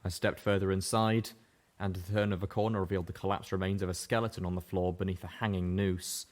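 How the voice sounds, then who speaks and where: A man narrating a fan-fiction audiobook with a steady, even-paced reading voice. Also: deep voice, quick pace. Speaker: audiobook reader. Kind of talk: scripted story narration.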